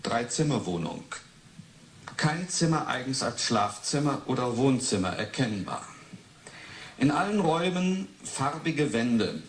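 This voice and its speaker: Slow, detached man, echoing room. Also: mid-range voice, very animated. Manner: clipped phrases, detached. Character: male report voice cataloguing a flat, impersonal and clipped. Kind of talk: recorded report in radio play. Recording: roomy taped report, faint noise.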